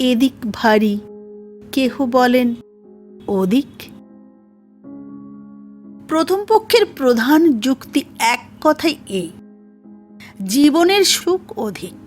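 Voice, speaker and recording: A woman narrating a formal literary essay in a measured, scripted audiobook style. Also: high-pitched.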